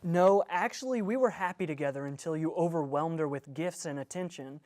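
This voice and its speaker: A man's voice: a little high, a little fast, a little mellow, lightly acted. Guy playing band drummer who's fed up, dry sarcastic digs, table read drama. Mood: sarcastic, irritated. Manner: dry, needling. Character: sardonic, resentful male rock band drummer. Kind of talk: script table read acting.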